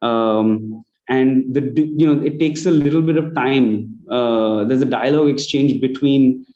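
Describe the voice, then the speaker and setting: A man with an Indian accent offers critique in a conversational way. His voice is soft-edged and dark, sitting at an ordinary male pitch. The sound is dull and lo-fi, as on an online call. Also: unhurried. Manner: conversational, acts out example lines. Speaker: judge giving feedback on student audio plays. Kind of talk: spoken critique on an online event.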